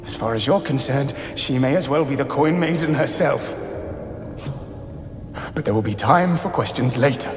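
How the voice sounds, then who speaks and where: An actor voicing an earnest captive artist in a video game, well-spoken, florid and persuasive. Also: male voice, medium pitch, expressive, moderate pace, pauses often, music in the background, natural voice. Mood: pleading, persuasive. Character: earnest, eloquent captive male artist pleading for rescue. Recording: echoey cavern reverb.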